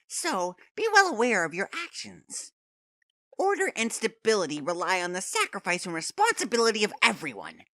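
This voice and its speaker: A woman's voice: medium pitch, bright, forceful tone, dry recording, clean studio sound, heavily acted. A woman voicing a gleefully menacing robot bear headmaster in a fandub, theatrical, mock-sweet and taunting. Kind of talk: anime game fandub acting.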